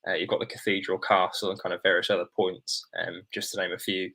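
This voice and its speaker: A man's voice: deep voice, level tone, fast, gravelly, pushed and bright. A young man from Norwich with an English accent, chatting casually as a student panellist in a hedging way. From Norwich, England. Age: young adult. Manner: hedging, frequent "kind of" and "sort of".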